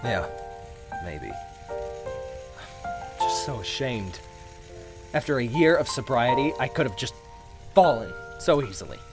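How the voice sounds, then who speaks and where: Man acting a halting, emotional grieving widower, music underneath. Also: medium pitch, very animated. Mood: ashamed, troubled. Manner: halting, stammering, emotional delivery. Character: grieving widower, recovering alcoholic, ashamed and humbly grateful. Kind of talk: radio play acting.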